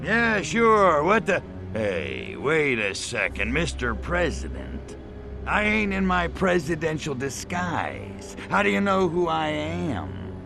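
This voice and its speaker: An actor playing a cocky, irritable alien invader in a video game, sarcastic and brash, full of sneering wisecracks about humans. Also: male voice, a little high, huge pitch swings, slow, vocal fry, over music, heavily acted. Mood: wary, brash. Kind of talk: video game cutscene voice acting.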